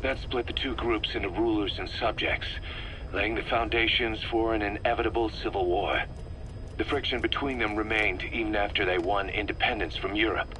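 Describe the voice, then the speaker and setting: A video game intelligence officer with a low, sharp-edged voice. He briefs his commander in a measured, grave, expository way. There is a light layer of noise on the recording. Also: fairly even intonation, poor audio. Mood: sober, explanatory.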